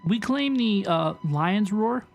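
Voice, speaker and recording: Animated woman livestreamer over game music. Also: mid-range voice, slow pace, vocal fry. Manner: animated, repeats questions, reads chat aloud. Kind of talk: casual gaming livestream reaction, chatting with viewers.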